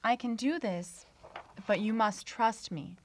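An actress playing a mysterious, beguiling young woman healer in a radio drama, with measured, enigmatic, old-fashioned phrasing. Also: on the high side, a little hiss or hum. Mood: insistent, mysterious. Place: small indoor radio studio. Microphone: shared vintage-style studio mic on stand.

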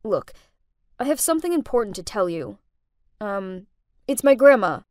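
A woman voicing a young man, a hurt boyfriend who talks hesitantly, then gruffly. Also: mid-range voice, sing-song, normal speed, a little mellow, no echo, clean studio sound, lightly acted. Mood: hesitant pleading. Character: man, young adult boyfriend, wounded and curt.

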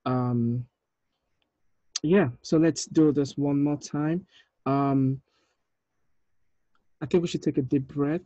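A man's voice: a little high, fairly even intonation, slow, long pauses, warm tone, dry recording. Man directing on a dull video call. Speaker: short film director leading a table read. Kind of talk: informal meeting talk. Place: indoor room, on a video call.

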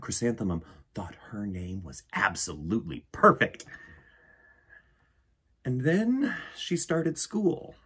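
A man in his forties narrating a children's picture book theatrically, with dramatic pauses and emphasis. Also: fairly low pitch, wildly swooping pitch, bright, forceful tone, slightly rough. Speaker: storyteller reading a picture book. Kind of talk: animated children's storybook narration. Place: small indoor room with bookshelves.

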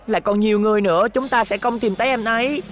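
A man's voice: high-pitched, fast, a bit bright, slight background noise. A man voicing a small, earnest, protective robot boy in a dubbed cartoon. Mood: urgent.

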